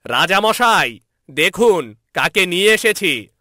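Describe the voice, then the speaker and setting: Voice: medium pitch, big pitch swings, takes it slowly, pushed and bright, no room sound, clean studio sound, voice pushed up, full character voice. A man playing an anxious, deferential royal minister in a fairy-tale cartoon. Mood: respectful, eager.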